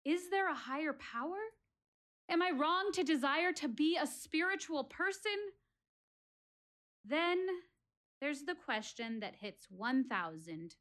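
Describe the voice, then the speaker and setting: An actress playing an anxious, searching young woman in a theatrical audio-play monologue, with deliberate, questioning dramatic delivery. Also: sits high, very animated, a bit bright, full character voice. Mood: anxious, earnest.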